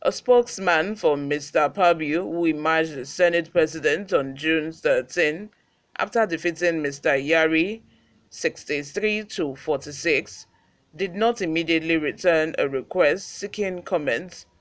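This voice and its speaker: Higher-pitched Nigerian man presenting news. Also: unhurried, fairly bright tone. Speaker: news channel host. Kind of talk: news reading and informal commentary.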